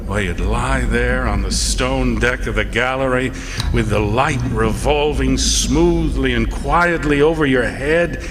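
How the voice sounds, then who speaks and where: A man plays a plain-spoken lighthouse keeper narrating a radio drama. He talks slowly and haltingly, folksy and reflective, at a middling pitch. There is clear noisy ambience behind him. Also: lightly acted. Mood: wistful, admiring. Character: plain-spoken, wistful lighthouse keeper recounting his tale.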